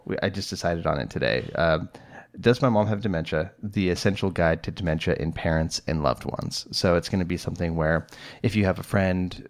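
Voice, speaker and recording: A middle-aged man hosting a solo podcast, talking casually and rambling with lots of fillers and restarts. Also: deep voice. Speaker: podcast host, psychologist.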